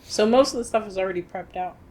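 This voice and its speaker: A woman's voice: medium pitch, fast talker, a little mellow. A woman co-hosting a podcast, chatting informally and conversationally. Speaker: podcast co-host. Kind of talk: casual podcast chat. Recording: faint ambient room noise.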